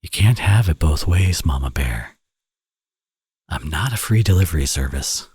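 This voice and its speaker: Man acting a deep, husky flirtatious villain. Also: animated, darkish tone, dry recording, studio quality, exaggerated voice. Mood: flirtatious, smug. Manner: teasing, sardonic, drawling with drawn-out phrases. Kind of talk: ASMR romance audio roleplay, intimate acting.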